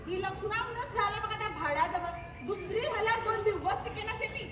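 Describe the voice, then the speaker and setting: A woman's voice: very high voice, fast, a loud crowd. A young woman acting on stage as a talkative, worried village woman. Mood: worried gossip. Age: young adult. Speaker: stage actor in a college one-act play. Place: large theatre stage. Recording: echoey theatre hall from far off, with music.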